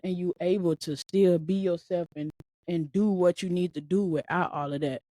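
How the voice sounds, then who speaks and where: A woman's voice: fairly low pitch, fairly even intonation, moderate pace, dark tone, no room sound. A woman in her late twenties hosting a solo podcast, talking casually and emphatically with lots of repetition, like a motivational pep talk. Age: 20s. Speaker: podcast host.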